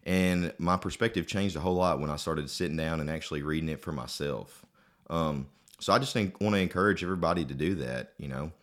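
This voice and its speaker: A man with a very deep voice speaks as a podcast guest. He sounds earnest and plain-spoken, gently encouraging listeners in a relaxed, casual way. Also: fairly quick. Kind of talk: casual closing remarks.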